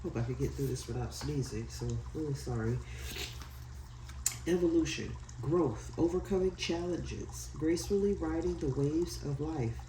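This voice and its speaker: Congested stuffy voice, mellow tone, rambling casual tarot reading, roomy echo, distant mic. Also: medium pitch, normal speed, a little hiss or hum. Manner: apologetic, chatty, loose and rambling. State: stuffy-nosed from a head cold. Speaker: tarot card reader. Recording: echoey room, set back from the mic.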